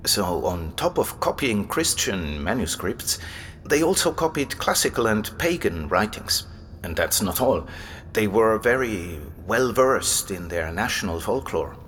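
A man's voice: fairly low pitch, sing-song, unhurried, a bit bright, a little hiss or hum. A man acting in an audio drama as an erudite, enthusiastic abbey librarian, explaining things like an eager scholar. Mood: keen explaining. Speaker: library guide and manuscript expert.

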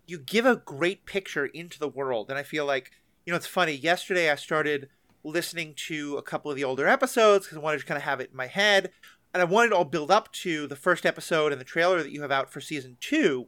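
A man's voice: fairly high pitch, fairly bright tone, dry recording. A man hosting a casual interview podcast, talking enthusiastically in rambling questions. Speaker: podcast host interviewing guests.

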